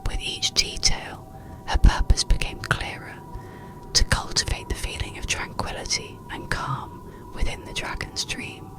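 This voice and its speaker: A narrator reading a soothing bedtime story in a breathy, unvoiced ASMR whisper, with gentle pauses. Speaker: audiobook narrator.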